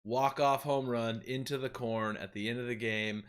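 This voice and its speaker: A man hosts a casual sports podcast, cracking jokes while moving the show from topic to topic. His voice sits at an ordinary, middle pitch. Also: fairly even intonation, normal speed, clean tone. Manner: joking, steers the segments. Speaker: podcast host.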